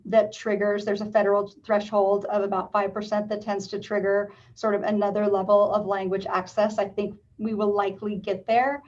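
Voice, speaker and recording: A woman on city staff presenting a plan at a public meeting, measured and full of ums. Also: medium pitch. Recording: distant, dull lo-fi video meeting audio.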